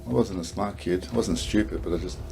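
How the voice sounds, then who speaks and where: Australian man, 60s, low gravelly dark voice, blunt rambling interviewee. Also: fairly quick, faint music behind. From Melbourne, Australia. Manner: blunt, rambling, restarts often. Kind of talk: casual interview.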